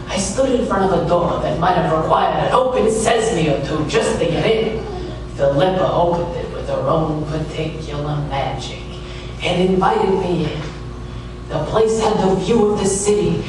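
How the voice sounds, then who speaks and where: A man playing a wry, world-weary private detective, narrating and talking in a deadpan, sardonic way in a hardboiled radio drama. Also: high voice, noisy background, close to an everyday voice. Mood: sardonic, unimpressed. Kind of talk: hardboiled noir radio drama, first-person narration and dialogue. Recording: echoey distant stage recording with music behind.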